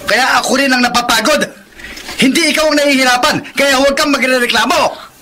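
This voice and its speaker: An actor playing an irritable, defensive husband with a gambling habit in a radio drama, curt and argumentative. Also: male voice, extremely high pitch, steady tone, brisk, bright, forceful tone, a little hiss or hum. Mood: irritated, angry. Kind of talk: Tagalog radio drama acting.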